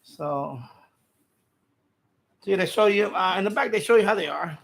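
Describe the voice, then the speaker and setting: A middle-aged man hosting a livestream in casual, rambling chat, halting often and repeating himself informally. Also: high voice, gravelly. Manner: halting, repetitive, informal speech. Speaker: livestream host.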